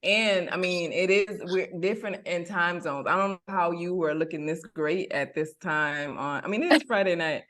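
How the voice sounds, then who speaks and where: A woman co-hosting a podcast, asking questions in a chatty, halting way with frequent restarts. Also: lowish voice, fairly bright tone. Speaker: podcast co-host. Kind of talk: casual interview chat.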